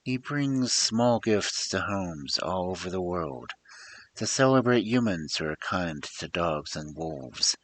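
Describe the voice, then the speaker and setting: A man narrates a tale with a theatrical, emphatic storybook delivery. His voice sits very low and moves at an unhurried pace. The intonation rises and falls widely, as a dramatic storyteller's does. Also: animated, fairly bright tone, slight background noise.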